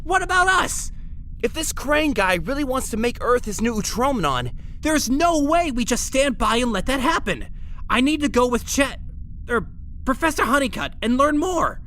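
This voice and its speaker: Brainy teen mutant turtle, voiced by an actor: insistent, eager, very animated intonation, cartoon-style audio drama. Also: sits high, moderate pace, full character voice. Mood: urgent. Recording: faint background noise.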